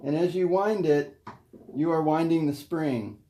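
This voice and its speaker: A man's voice: a little high, unhurried, mellow. A man giving a careful, step-by-step hands-on demonstration, explaining as he goes in an unscripted way. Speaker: presenter demonstrating an antique gramophone. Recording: roomy echo, faint noise and clicks.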